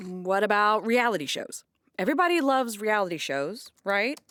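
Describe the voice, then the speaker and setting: An actress playing a middle-aged aunt at a radio station in a comedy audio drama, blunt, with a dry wit. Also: female voice, mid-range voice, expressive, moderate pace, a bit bright, natural voice. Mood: wry.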